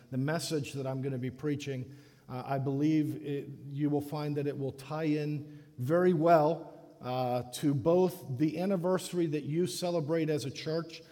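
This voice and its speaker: A man from the Dominican Republic preaches a sermon, his voice sitting a little high with a warm, mellow tone. He halts and restarts his phrases often as he speaks. The church around him adds a long, echoing reverb. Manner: halting, many restarts. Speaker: guest preacher. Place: church sanctuary. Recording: echoey church hall.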